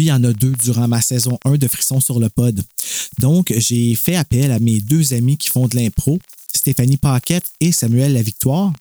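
Mellow man introducing a podcast. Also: medium pitch. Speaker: podcast host.

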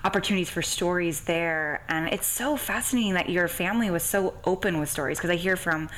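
A woman's voice: lowish voice, fairly quick, fairly bright tone, rough recording. A young woman hosting a podcast, chatting casually and gushing a little as she interviews. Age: young adult. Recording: faint background hiss or room noise.

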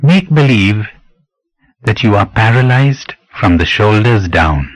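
A man with a fairly low voice leads a guided meditation. He speaks very slowly and deliberately, leaving pauses between phrases as he gives instruction. The recording is close and dry. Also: expressive, dry recording, rough recording. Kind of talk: guided meditation, scripted spiritual narration.

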